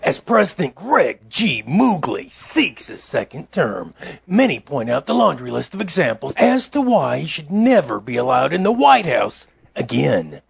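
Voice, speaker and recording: A man's voice: fairly high pitch, big pitch swings, measured pace, vocal fry, breathy edge, lo-fi sound, full character voice. A man playing an earnest, incredulous TV news interviewer in a parody broadcast, with formal delivery.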